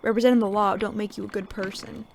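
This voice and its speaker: Weary, creaky-voiced Western sheriff. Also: female voice, mid-range voice, level tone, fast. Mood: earnest, firm. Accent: American. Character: weary, gruff, sardonic veteran male sheriff of the Old West. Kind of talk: Western audio drama acting.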